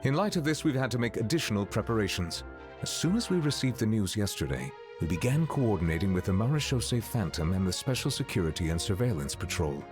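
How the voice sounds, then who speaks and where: A man's voice: on the low side, sing-song, fast. A man voicing a composed, serious and courteous officer in a video game, formal and precise. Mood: serious, reassuring. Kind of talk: video game dialogue acting. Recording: clear game music behind. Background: background music.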